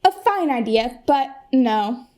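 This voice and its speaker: A young American woman playing an earnest, polished corporate saleswoman giving an emphatic keynote pitch in a comic radio play. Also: lively intonation, takes it slowly, warm tone. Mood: persuasive. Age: young adult. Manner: presentational, emphatic.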